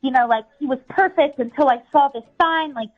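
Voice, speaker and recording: A woman's voice: high voice, a little fast, phone-quality sound, faint music behind. A young American woman calling a radio show, explaining herself casually and hesitantly with lots of filler words. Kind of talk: casual conversation.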